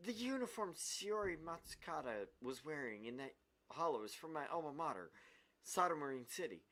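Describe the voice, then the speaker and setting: Easygoing male detective, played by a woman, visual-novel role, very low register, informal, coaxing, animated. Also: moderate pace, heavily acted. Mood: explaining.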